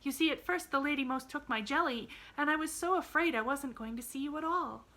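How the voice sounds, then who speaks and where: A woman's voice: sits high, a little fast, sharp tone, voice pushed up. A woman voicing an eager, talkative, glad little girl from a storybook, rambling earnestly with a rising inflection.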